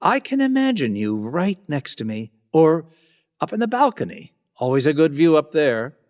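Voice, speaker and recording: A man's voice: a little high, very animated, normal speed, darkish tone. A man hosting and narrating a radio play, theatrical and playfully speaking straight to the listener. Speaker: host and narrator. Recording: music behind the voice.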